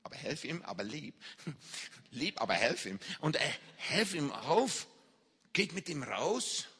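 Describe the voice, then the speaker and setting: A man preaching in a big reverberant hall, telling a personal story in slow, deliberate German with slips of a non-native speaker. His voice is bright and a bit husky, his pitch sits slightly high, and his intonation swings widely as he acts out the scenes. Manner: animated, acts out shouts and reactions. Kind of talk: sermon testimony, storytelling.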